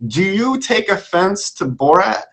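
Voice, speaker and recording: A man's voice: high voice, very animated, a little slow, creaky, a bit bright. A man hosting a casual interview show, asking questions and joking with his guest. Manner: joking, teasing. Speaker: show host.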